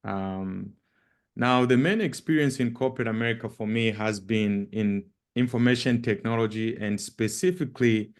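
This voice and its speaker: A man with a Zambian accent giving an informal presentation as a guest speaker, speaking haltingly with repeated words and frequent pauses. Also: on the low side, smooth. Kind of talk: informal presentation with personal introduction.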